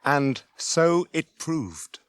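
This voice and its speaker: A man narrating a 1980s radio drama as a scripted story, measured in delivery. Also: on the high side, sing-song, slight background noise. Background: dramatic music.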